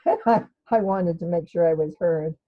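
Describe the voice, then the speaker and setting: Older woman, loud, heartfelt webcam lesson. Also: fairly low pitch, measured pace. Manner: loud, warm, emotional, with many restarts and fillers. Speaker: energy healing teacher. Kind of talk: casual spoken lesson to webcam. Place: living room at home. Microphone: webcam at desk. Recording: slightly dull lo-fi webcam audio.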